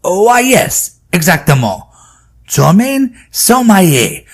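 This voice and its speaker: A man playing an anxious French scholar in an audio drama, with a French accent and a husky voice. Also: high-pitched, sing-song, slow pace, full character voice. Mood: concerned. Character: anxious French male scholar, old friend welcoming guests.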